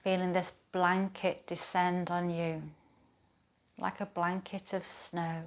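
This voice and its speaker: A woman speaking as a yoga teacher, guiding a relaxation practice in a soothing, gentle, unhurried manner with pauses. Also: on the low side, little pitch movement. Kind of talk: guided relaxation, yoga nidra instruction.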